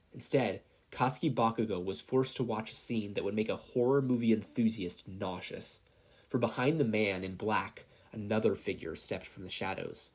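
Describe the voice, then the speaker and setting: A man's voice: fairly low pitch, darkish tone, slightly rough. A man reading a scripted story aloud as a fiction narrator. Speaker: podfic narrator.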